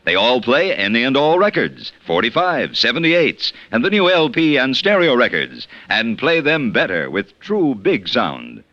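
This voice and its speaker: Bright, animated vintage radio ad man. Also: mid-range voice, unhurried, hardly any pauses, dry recording, dull audio. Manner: emphatic salesmanship. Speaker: commercial announcer. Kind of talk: polished 1950s radio ad read. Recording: dry, band-limited vintage radio.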